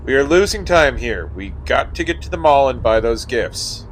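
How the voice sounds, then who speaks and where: A man's voice: mid-range voice, animated, moderate pace, slight background noise, lightly acted, poor audio. A man acting in a radio play as a cautious, exasperated friend who argues and complains dryly. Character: exasperated, cautious, sarcastic male friend in the passenger seat.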